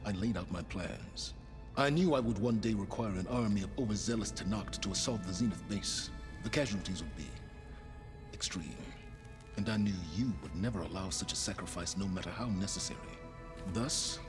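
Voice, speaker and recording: Low-voiced, arrogant scheming man played by an actor. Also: close to an everyday voice. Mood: cold, matter-of-fact. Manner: measured, formal, condescending. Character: cold, arrogant, scheming older man, calculating and condescending. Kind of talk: video game dialogue acting. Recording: music behind the voice.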